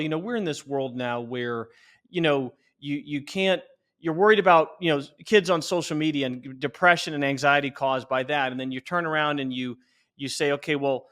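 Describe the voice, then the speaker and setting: Male interview guest, middle-aged, fairly high voice, emphatic and conversational founder pitch. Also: a bit bright.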